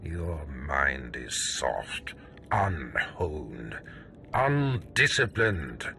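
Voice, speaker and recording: A cold Sith overseer in a game cutscene. His low voice is sharp and forceful, with slow, imperious delivery full of contempt. Faint ambience sits behind him. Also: very animated, vocal fry, a little hiss or hum, exaggerated voice. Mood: cold disdain. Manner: imperious, deliberate, clipped pronouncements. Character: stern, contemptuous Sith overseer, a cold commanding male master. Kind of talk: video game cutscene acting.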